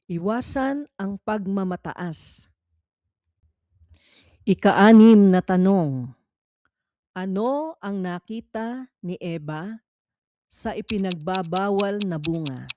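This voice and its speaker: Woman narrating a bible quiz read-along, soft mellow tone, measured and teacherly, really dry close sound. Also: mid-range voice, expressive, no room sound. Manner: measured, formal, instructional.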